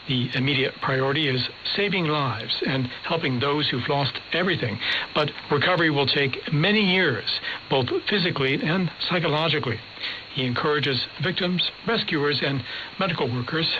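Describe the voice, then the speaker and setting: A man reading a scripted radio news report as a reporter. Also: a little high. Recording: dull shortwave radio with faint static.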